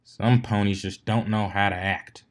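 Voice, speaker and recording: A man voicing a blunt, grumpy country farm pony mare in a story reading, with flat, folksy scolding. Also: lowish voice, a little slow, natural voice. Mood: irritated, flat.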